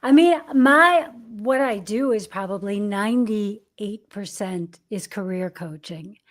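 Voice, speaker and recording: A woman's voice: medium pitch, takes it slowly. An American woman in her fifties, a career coach for actors, talking candidly and reflectively in a podcast interview, with frequent restarts and fillers. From United States. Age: 50s. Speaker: acting career coach, guest.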